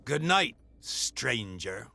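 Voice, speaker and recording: An actor playing a plainspoken, earnest male harbor sailor in a video game, with a creaky, forceful voice. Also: mid-range voice, big pitch swings, very slow, exaggerated voice. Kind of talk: video game cutscene dialogue.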